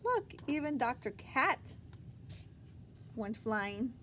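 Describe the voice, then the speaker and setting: A woman's voice: fairly high pitch, very animated, slow pace, a bit bright. A woman reading a picture book aloud to young children, expressively, with asides and questions to the listener.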